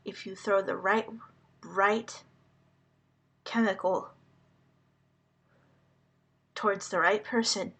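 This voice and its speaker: A woman whose first language is Hungarian, speaking to the public in a slow, earnest monologue, halting and deliberate with many pauses. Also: medium pitch, steady tone, a bit bright, breathy edge. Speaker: vlogger addressing the public.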